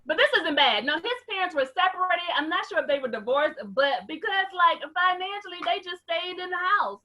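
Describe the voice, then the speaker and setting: Brief: very high, bright woman, chatty storyteller. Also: lively intonation, fairly quick, rough recording. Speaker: comedian guest. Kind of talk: comedic casual chat. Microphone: video call.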